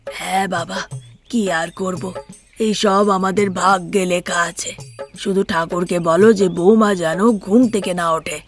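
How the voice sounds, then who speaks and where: A woman playing a weary, resigned older father-in-law in a family cartoon, speaking in a slow manner. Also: lowish voice, steady tone, faint music behind, natural voice. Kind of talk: cartoon story acting.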